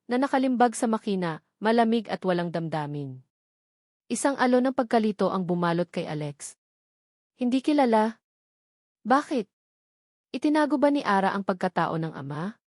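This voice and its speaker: A woman's voice: mid-range voice, a little mellow, dry recording. Filipino woman narrating a story, dry sound. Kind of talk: dramatic scripted story narration in Tagalog.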